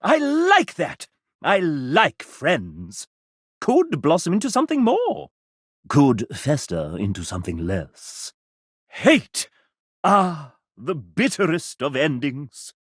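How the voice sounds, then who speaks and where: An actor voicing a sassy, flamboyant video game host, speaking in a grandiloquent, arch and melodramatic way. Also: male voice, a little high, wildly swooping pitch, slow pace, slightly rough, no room sound, studio quality, exaggerated voice. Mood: grand, affectionate. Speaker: voice actor playing a game show host character. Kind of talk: theatrical video game character acting as a game show host.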